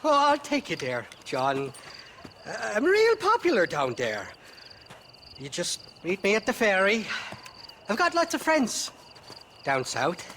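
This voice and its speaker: An actor playing a boastful, wheedling Irish drifter and petty crook in a video game. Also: male voice, high voice, huge pitch swings, slow, long pauses, sharp tone, slight background noise, full character voice. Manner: wheedling, folksy, blustering. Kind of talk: video game character acting.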